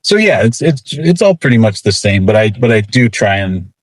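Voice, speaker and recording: Low-voiced man chatting casually in an interview. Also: very animated, moderate pace. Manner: rambling, frequent "like" and "you know". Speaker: comic book writer being interviewed.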